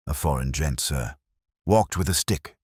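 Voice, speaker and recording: A man's voice: very deep voice, expressive, measured pace, breathy edge, no echo, clean studio sound, full character voice. A man voicing a timid working-class porter in an audio story, hesitant and deferential, speaking in short fragments.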